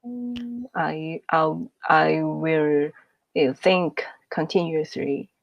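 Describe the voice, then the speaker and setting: Woman artist, non-native English, slow and halting, gravelly, dull call audio. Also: low voice, no room sound. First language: not English. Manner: halting, searching for words. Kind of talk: online meeting discussion. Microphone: video call.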